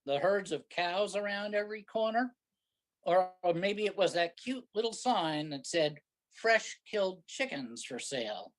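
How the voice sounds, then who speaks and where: A man's voice: high voice, lively intonation, measured pace, rough recording. A man playing a sarcastic, grumbling, exasperated husband in a staged reading of a comic play. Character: sarcastic, exasperated husband driving lost in the country.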